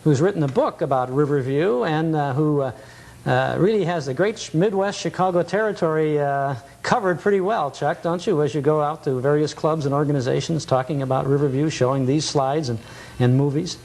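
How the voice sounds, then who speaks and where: A man's voice: on the high side, fairly quick, vocal fry, a little mellow. A man hosting a television nostalgia show, chatting casually and reminiscing as he interviews.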